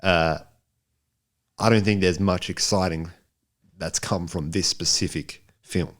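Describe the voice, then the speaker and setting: A man's voice: deep voice, steady tone, takes it slowly. A man co-hosting a podcast, giving a casual film review in a rambling, jokey way and repeating himself for emphasis. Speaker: podcast co-host.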